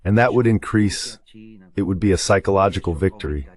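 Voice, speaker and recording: A man with a very deep voice speaks as a guest analyst. The delivery is a translated voice-over of spoken geopolitical commentary. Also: brisk.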